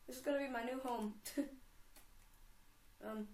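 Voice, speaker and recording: Young woman, rambling gamer chatter, slightly high voice, roomy echo. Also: steady tone, a little slow, a little mellow. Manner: chatty, rambling, giggly self-talk. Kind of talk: casual let's play commentary.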